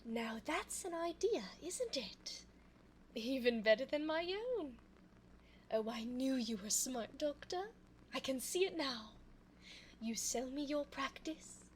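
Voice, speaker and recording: A woman playing an elegant, cunning vampire in an ASMR audio roleplay, speaking formally and coaxingly in a soft voice close to the microphone. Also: high voice, animated, slight background noise. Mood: pleased, scheming. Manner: formal, genteel, slow, coaxing. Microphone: close binaural microphone.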